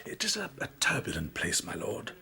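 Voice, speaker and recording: A man's voice: fairly low pitch, huge pitch swings, fairly quick, gravelly, sharp tone, exaggerated voice. An actor playing a learned, deferential churchman in a radio drama, speaking carefully with courteous hesitation. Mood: measured, hesitant. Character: deferential, learned churchman advising an ailing pope.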